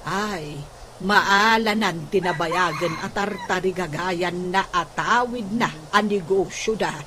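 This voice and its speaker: A woman playing an excitable older household maid in a comedic radio drama, with a melodramatic, exclaiming way of talking. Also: on the low side, slow pace. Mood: gossipy, disapproving. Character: excitable older household maid fretting over unpaid wages. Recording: noisy radio drama recording.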